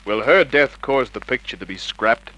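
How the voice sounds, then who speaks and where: Bright-voiced terse private eye. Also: male voice, mid-range voice, lively intonation, moderate pace. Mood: cool, terse. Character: cool, wry private investigator who narrates his case. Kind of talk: hard-boiled radio narration and acting. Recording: noisy old radio drama recording.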